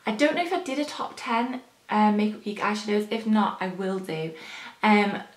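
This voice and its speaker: A young woman chats casually while applying makeup, rambling and filling pauses with ums. Her voice is a little hoarse from the early morning. The sound is roomy and echoey, as in a bare bedroom. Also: medium pitch. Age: young adult. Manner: chatty, rambling, frequent ums. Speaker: beauty vlogger.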